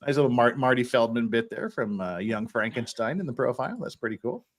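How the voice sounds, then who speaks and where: A man's voice: medium pitch, expressive, brisk. A man in his fifties hosting a livestream, chatting casually and reading out viewer comments in a rambling, jokey, giggly way. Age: 50s. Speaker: livestream host.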